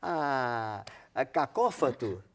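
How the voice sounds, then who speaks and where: An older man with a Brazilian accent, a radio veteran reminiscing in halting, repetitive, emphatic speech. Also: mid-range voice, expressive. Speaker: veteran radio drama performer. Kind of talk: reminiscing in conversation.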